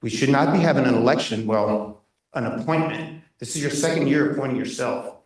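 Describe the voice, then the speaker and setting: A man speaks up at a neighborhood meeting, loud and insistent, restarting his sentences as he pushes his complaint. He is not on the microphone, so his voice comes from well off across a very echoey room. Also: medium pitch, a little fast. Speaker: resident giving public comment. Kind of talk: impassioned public comment at a neighborhood meeting. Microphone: room mic at a distance, not speaking into a handheld. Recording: very echoey room, voice far from the mic.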